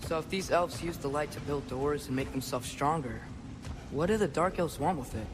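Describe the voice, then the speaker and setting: A man's voice: on the high side, moderate pace, natural voice. An actor playing an earnest, curious teenage boy adventurer in a video game, youthful and plain-spoken. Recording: game music behind the voice.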